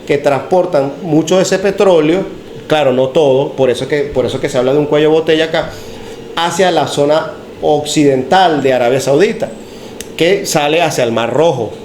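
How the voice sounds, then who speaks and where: A man hosting a podcast, asking long questions in a relaxed, conversational way. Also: a little high, normal speed. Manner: conversational, asks long questions, uses fillers like "ok?" and "este". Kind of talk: casual podcast discussion. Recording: echoey room with faint noise.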